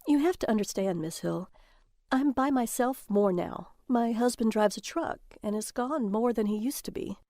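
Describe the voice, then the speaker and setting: A woman's voice: fairly high pitch, moderate pace, mellow, no echo, studio quality, lightly acted. A woman playing a weary, lonely mother of a troubled boy in an audio drama. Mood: weary, defensive.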